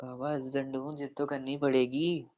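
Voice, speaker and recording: A man's voice: medium pitch, little pitch movement, normal speed, natural voice. A man playing a caring, insistent young husband who banters affectionately in a casual domestic audio roleplay, with an informal, coaxing way of talking. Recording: tinny narrow phone-like band.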